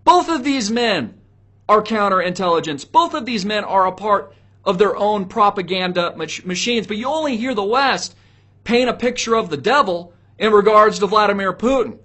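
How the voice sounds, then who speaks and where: A man's voice: high voice, expressive, moderate pace, sharp tone. A man giving opinionated solo political commentary to viewers, speaking in an emphatic, preachy way with repeated rhetorical questions.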